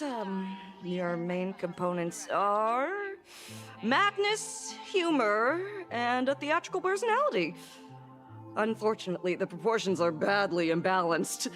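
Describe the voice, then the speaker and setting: A woman's voice: mid-range voice, big pitch swings, unhurried, a bit bright, faint music behind. A woman voicing a smug quack doctor in a video game, theatrical and taunting as she makes pronouncements. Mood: mock clinical.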